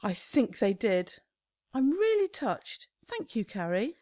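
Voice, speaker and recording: An actress playing a brisk, self-important female shop owner in a radio comedy, haughty and expressive. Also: on the high side, dry recording, studio quality. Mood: pleased. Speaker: actor in amateur radio play.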